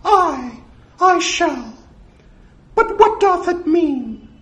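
A squeaky, very high-pitched storybook drone, eager to obey and easily bewildered. The speech is archaic and excitable, swooping up and down like a gullible servant's. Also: male voice, very slow, exaggerated voice. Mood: frightened, submissive. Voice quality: falsetto, squeaky. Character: old slow-witted fanatical drone manager turned squeaky falsetto Mickey god.

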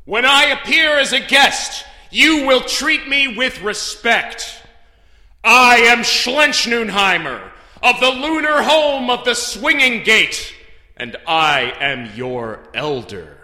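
A man's voice: lively intonation, slow pace, bright, forceful tone, heavily acted. A man playing a pompous, thundering accuser and self-proclaimed elder in a theatrical mock trial. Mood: indignant, proud. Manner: booming, pompous, declamatory. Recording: echoey hall with music behind.

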